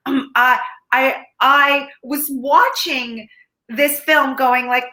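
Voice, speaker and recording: Bright animated woman film critic. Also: high voice, slow pace, reverberant. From Ukraine. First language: Ukrainian. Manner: animated, laughing, restarts often. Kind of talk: casual review chat.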